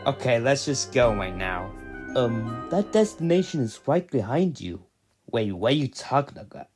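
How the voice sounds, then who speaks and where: A man's voice: mid-range voice, sing-song, moderate pace, over music. A man acting out an amateur audio drama in an everyday, conversational, improvised-sounding voice.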